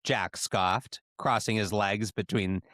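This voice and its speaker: A man's voice: fairly low pitch, steady tone, takes it slowly, a bit bright. A man co-hosting a podcast, reading comedic fan fiction aloud with theatrical delivery.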